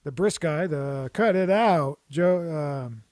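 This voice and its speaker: A man with a somewhat high voice rambles through jokey stories in casual chat, often restarting his sentences. The sound is close and dry, with no room around it. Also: takes it slowly, a little mellow, no echo.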